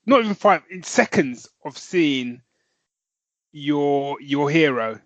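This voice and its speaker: British male podcast co-host, higher voice, playful banter, close dry mic. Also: lively intonation, slow, no room sound, lo-fi sound. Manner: chatty, teasing, laughs often. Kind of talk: casual chat.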